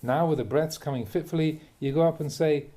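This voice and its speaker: A man, a Buddhist monk, reads a translated spiritual talk aloud in a measured, emphatic way, posing rhetorical questions. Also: fairly high pitch, dark tone. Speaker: Buddhist monk reading a teacher's talks aloud. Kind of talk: reading a translated Dharma talk aloud, with brief asides.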